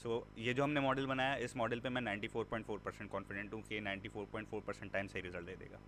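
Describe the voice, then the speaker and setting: A man's voice: on the low side, level tone, rapid-fire, fairly bright tone, a little hiss or hum. A man teaching a machine learning class in a conversational way, mixing in English words and repeating points for emphasis. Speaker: instructor. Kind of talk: classroom lecture.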